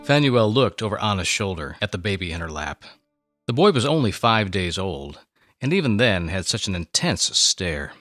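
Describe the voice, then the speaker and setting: A man reading scripted dramatic narration with a theatrical, expressive delivery. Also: deep voice, moderate pace, dry recording, soft background music.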